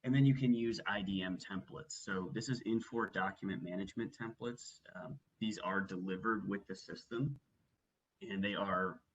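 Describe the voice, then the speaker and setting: A man working methodically through a software walkthrough as a webinar trainer, explaining each step. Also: deep voice, a little hiss or hum, rough recording. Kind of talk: instructional software walkthrough, semi-scripted presentation.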